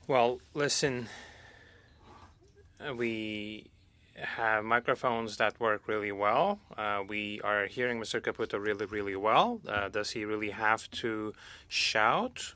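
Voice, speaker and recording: Bright, low-pitched male interpreter. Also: unhurried, dry recording. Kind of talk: live simultaneous interpretation.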